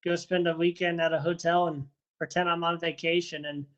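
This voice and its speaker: Man, rambling and friendly, slightly high voice, repeats himself, heard through a video call. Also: flat delivery, brisk, clean tone, dull audio. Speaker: interviewee, first responder and dog handler. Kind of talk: casual interview answers.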